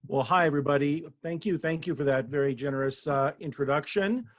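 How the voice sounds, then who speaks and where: A man's voice: fairly high pitch, brisk, no room sound. A man from Denver giving a humble, self-deprecating talk as a guest author over a video call, with many fillers and restarts. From Denver, USA. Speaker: guest speaker, author and professor.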